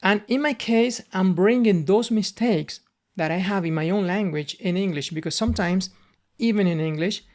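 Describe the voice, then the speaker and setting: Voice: medium pitch, normal speed, a little mellow. Cuban-accented middle-aged language tutor. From Cuba. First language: Spanish. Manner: conversational, earnest, repeats words while searching for them. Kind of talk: unscripted spoken lesson monologue.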